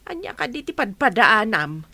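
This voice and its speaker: A woman's voice: on the high side, sing-song, normal speed, sharp tone, dry recording, heavily acted. A woman playing a questioning older village wife in an Ilocano radio comedy drama, with a Filipino accent. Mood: questioning, puzzled.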